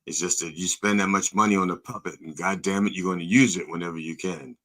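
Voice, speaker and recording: A hoarse man hosting a comedy podcast as a mock reverend, rambling, profane and interrupting himself. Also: sits low, fairly even intonation, fast talker, a bit bright.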